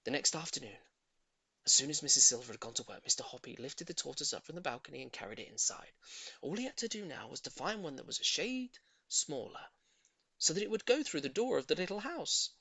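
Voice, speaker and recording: A woman reading a children's story aloud as expressive, dramatic narration. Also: sits low, a little fast, gravelly, husky, no echo. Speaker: audiobook reader.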